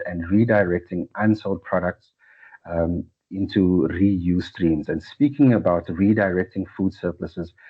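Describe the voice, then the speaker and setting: A man moderating an online session, reading out facts in a measured way before handing over to a guest. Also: low-pitched, husky. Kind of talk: webinar introduction. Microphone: video call. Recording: dull lo-fi video call audio.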